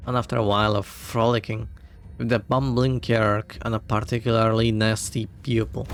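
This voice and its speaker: A man's voice: fairly low pitch, slight background noise. A man reading as a carefree old drunken master.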